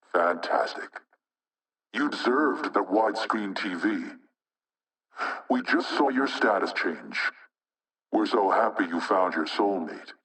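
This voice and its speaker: A man's voice: fairly low pitch, a bit bright. Ominous, distant hacktivist spokesman. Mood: mocking menace. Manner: measured, rhetorical, insinuating. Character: ominous anonymous male hacktivist spokesman, preaching and menacing. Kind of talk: scripted propaganda broadcast in a video game.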